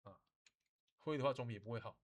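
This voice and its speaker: Guy teaching software casually, instructional, keeps checking you get it, drops in lah. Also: medium pitch, quick pace. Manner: instructional, often checks understanding, uses particles like lah. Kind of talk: informal software tutorial mixing English and Mandarin.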